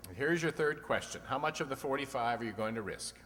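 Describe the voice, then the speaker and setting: A wry, teasing quiz show host played by a man quips his way through an old-time radio comedy program. His medium-pitched voice rings in a long hall reverb. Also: animated, quick pace, fairly bright tone, a little hiss or hum, lightly acted. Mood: brisk, wry. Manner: wry, quipping. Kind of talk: 1940s-50s comedy radio quiz re-enactment.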